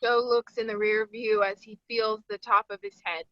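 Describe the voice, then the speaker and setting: A woman narrates screenplay directions at a table read. Her voice is fairly high and bright, captured dry with a slightly dull, lo-fi sound. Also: flat delivery, moderate pace, dry recording.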